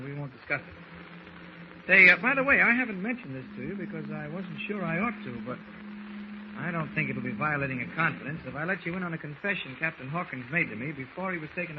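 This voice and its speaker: A man plays a calm, authoritative hero in an old radio drama. He is earnest and well-spoken, pitched a little high for a man. The sound is dull, with clear noise. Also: brisk, lightly acted. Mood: modest, earnest. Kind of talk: 1940s radio acting. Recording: muffled, noisy old radio recording.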